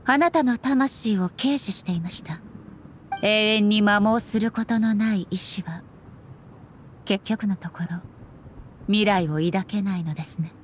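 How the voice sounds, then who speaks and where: A woman playing a stern, solemn immortal warrior goddess in a game, speaking formally and with measured deliberation. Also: mid-range voice, a little hiss or hum, lightly acted. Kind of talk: dramatic game voice acting. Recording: background music under the voice.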